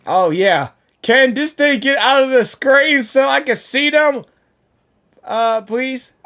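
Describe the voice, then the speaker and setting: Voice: sits high, slow, fairly bright tone. A gaming streamer reacting casually and playfully to a game, repeating phrases and talking back to it. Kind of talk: casual excited gameplay reaction commentary. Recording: game music behind the voice.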